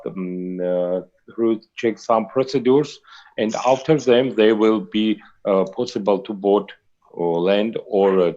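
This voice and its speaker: A man from Georgia answers questions in halting, broken English, searching for words with many fillers. His voice is low and mellow. The video call audio is muffled. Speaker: panelist. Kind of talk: online panel discussion.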